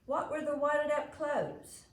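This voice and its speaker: A woman voicing bold, curious young boys in a storybook, blunt and loud with their questions. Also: high-pitched, slow, roomy sound, pitched above the performer's own voice.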